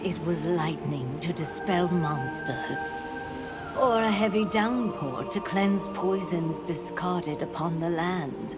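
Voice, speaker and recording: A woman playing a solemn, all-knowing lore keeper in a video game, speaking in a measured, formal way that turns breathy at phrase ends. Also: low-pitched. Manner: measured, grave, formal storytelling delivery. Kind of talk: cinematic video game dialogue. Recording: loud music under the voice. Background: loud music.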